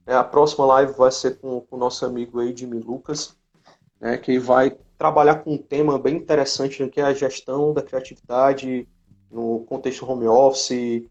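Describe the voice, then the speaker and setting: A man with a noticeable fry in his voice hosts an informal online chat. He talks in halting, conversational phrases and often tags them with a small question. The audio is a little dull, like a compressed live stream. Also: mid-range voice, level tone, darkish tone. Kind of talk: casual live interview chat. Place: remote live video chat on social media.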